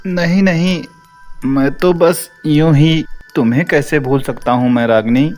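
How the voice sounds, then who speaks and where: A man's voice: on the high side, measured pace, a little mellow, faint music behind, close to an everyday voice. A man playing a hesitant, heartbroken former lover in an audio story, speaking earnestly. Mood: flustered, wistful. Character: Vishal, lovelorn, hesitant divorced man nursing old heartbreak. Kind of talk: acted audio story dialogue.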